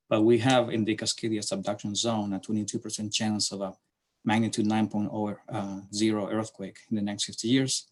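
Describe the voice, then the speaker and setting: A middle-aged man from Chile with a Chilean Spanish accent, giving a slide presentation to a class in a halting way with many fillers and restarts. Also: lowish voice. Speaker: city transportation planner presenting to a class.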